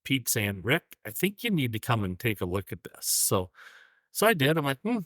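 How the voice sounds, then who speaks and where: A man who runs an antique shop, co-hosting a podcast and telling a story in a relaxed, halting way. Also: mid-range voice, no room sound, studio quality. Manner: relaxed, hesitant, many ums. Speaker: podcast co-host, antique dealer. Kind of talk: casual storytelling chat.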